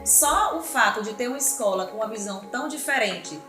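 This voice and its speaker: A woman's voice: a little high, expressive, brisk. A woman hosting a school podcast, chatting casually and enthusiastically with her guests and calling out to listeners. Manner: enthusiastic, affirming, addresses listeners as "minha gente". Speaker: podcast host. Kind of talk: casual interview chat. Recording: echoey room with background music.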